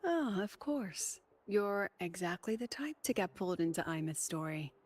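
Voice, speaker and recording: Poised academy president in a game, measured and teasing, music behind her. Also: fairly low pitch, sing-song, darkish tone. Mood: teasing curiosity. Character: poised, intrigued woman academy president and lecturer. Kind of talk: video game voice acting.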